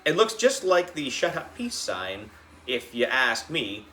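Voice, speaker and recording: A man narrating a children's book in an animated storytelling style. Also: mid-range voice, a little slow, reverberant, faint music behind. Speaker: audiobook reader.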